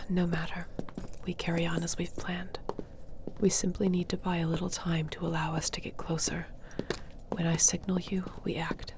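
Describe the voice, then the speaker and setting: A woman's voice: fairly low pitch, flat delivery, normal speed, noisy background, lightly acted. A woman playing an earnest, loyal sorceress accused of a crime, defiant and desperate, in a fantasy audio roleplay. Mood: hushed, tense.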